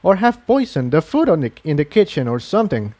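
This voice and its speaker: A man's voice: on the high side, very animated, a little fast, mellow, pitched above the performer's own voice. A man voicing a frank, easygoing young male pony adventurer in a storybook, with a casual, slightly dismissive manner.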